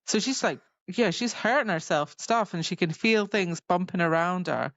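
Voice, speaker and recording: Female podcast co-host, rather low voice, dry and a bit muffled, loosely rambling through a story retelling. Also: lively intonation, no room sound. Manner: loose, halting, filler-heavy phrasing with many "like"s. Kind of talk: casual book chat, retelling scenes.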